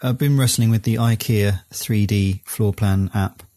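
A man with a lowish voice who co-hosts a podcast in a casual, meandering way. He hesitates and fills gaps with ums and uhs, and the sound is dry and close. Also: steady tone, measured pace, mellow, no room sound. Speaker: podcast co-host. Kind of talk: casual chat.

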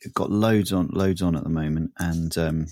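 A man's voice: low voice, fairly even intonation, normal speed, dark tone, dry recording, clean studio sound. A man with a sore, throaty voice, chatting casually as a podcast co-host, rambling and often laughing. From southern England. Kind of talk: casual chat.

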